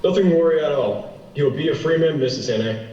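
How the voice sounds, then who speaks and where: A man's voice: on the high side, fast, warm tone, distant mic, lightly acted. A man acting in a radio play as a firm, officious police detective. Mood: reassuring. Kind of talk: stage radio drama acting. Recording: dull, echoey, off-mic, faint noise.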